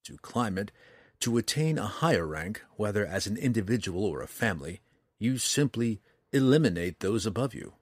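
Man as a soft, grave dark elf, low and husky. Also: sing-song, normal speed, no echo, clean studio sound. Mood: calm, matter-of-fact. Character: haunted young male dark elf, guarded, solemn. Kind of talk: fantasy audiobook character.

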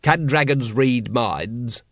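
A weary city watchman, played by a male narrator in a storybook reading. He sounds wry and exasperated at a middling pitch, in a very dry recording. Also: slow pace, fairly bright tone, no room sound. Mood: puzzled.

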